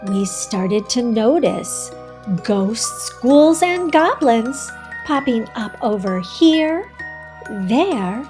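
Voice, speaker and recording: A woman reading a children's storybook aloud as host, animated and instructive, speaking directly to young listeners. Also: a little high.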